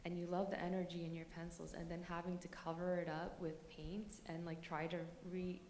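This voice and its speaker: A woman's voice: lowish voice, flat delivery, brisk, a little mellow, slight background noise. A woman artist talking casually to an audience, rambling with lots of fillers and nervous little laughs. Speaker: artist panelist. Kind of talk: casual talk to a live audience. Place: conference hall. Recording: echoey room with faint ambience.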